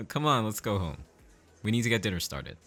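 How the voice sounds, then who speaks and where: An easygoing, affectionate dad in an audio drama. He is chipper and teasing, with very animated inflection over faint music. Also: on the low side, exaggerated voice.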